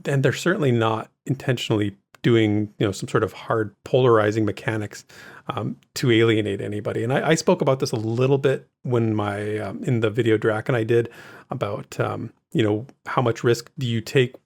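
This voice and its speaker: A man's voice: mid-range voice, a little fast, gravelly, darkish tone. Man co-hosting a casual gaming podcast. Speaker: co-host of a gaming news recap show. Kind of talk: casual podcast discussion of game news.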